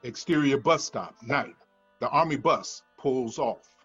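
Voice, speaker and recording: A man's voice: medium pitch, measured pace, a bit bright, slightly rough, lo-fi sound, soft background music. A man reading the stage directions of a screenplay at a table read in a matter-of-fact manner.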